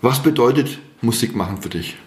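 A man's voice: medium pitch, expressive, brisk, darkish tone. A man hosting a casual interview, enthusiastic and chummy, backing up his guest with frequent agreeing murmurs. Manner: enthusiastic, chummy, many backchannel "mhm"s. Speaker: podcast host, interviewer. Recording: echoey room.

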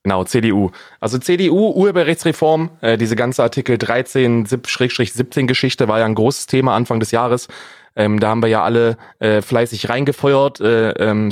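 A man's voice: mid-range voice, brisk, dry recording, studio quality. A man co-hosting a casual podcast, bantering in an ironic, slangy way. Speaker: podcast co-host.

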